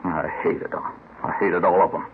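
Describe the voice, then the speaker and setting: Bitter low-voiced cornered crook. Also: male voice, little pitch movement, normal speed. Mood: bitter, breaking down. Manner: emphatic, repetitive denials and outbursts. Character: bitter, defensive male murder suspect under interrogation. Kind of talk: 1950s radio crime drama acting. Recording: narrow, noisy old radio recording.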